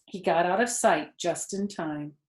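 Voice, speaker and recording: A woman reading a children's story aloud expressively, pausing to explain things to young listeners. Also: fairly low pitch. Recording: roomy indoor echo.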